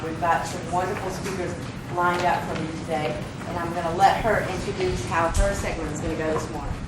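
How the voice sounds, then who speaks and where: A woman hosting an event, giving an informal introduction of a speaker to an audience. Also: fairly low pitch. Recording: distant, very echoey, loud background noise.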